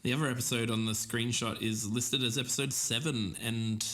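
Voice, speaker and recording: A man's voice: medium pitch, fairly even intonation, normal speed, sharp tone. A man co-hosting a podcast, chatting and reading a story aloud over music. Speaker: podcast co-host. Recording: voice over clear background music.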